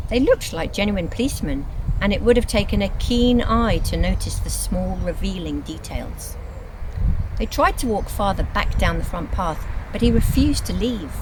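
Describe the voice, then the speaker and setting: A woman reads scripted storybook narration in an expressive, dramatic storytelling manner. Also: on the low side, background noise. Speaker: reader of a children's book.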